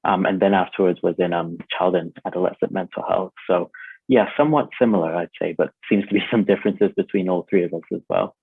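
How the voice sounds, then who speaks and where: A man's voice: low-pitched, fairly even intonation, fast talker, creaky, husky, no echo. A young man from Hong Kong, a trainee psychologist, answering interview questions hesitantly with many ums. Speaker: guest, trainee clinical psychologist. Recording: tinny phone-like line, dry.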